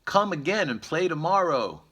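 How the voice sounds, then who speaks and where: Guy doing a happy cheeky little kid from a picture book, pitched up, bright. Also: big pitch swings, normal speed, husky, exaggerated voice. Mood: cheerful. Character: gleeful, cheeky toddler boy delighted by mess. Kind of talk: storybook character voice.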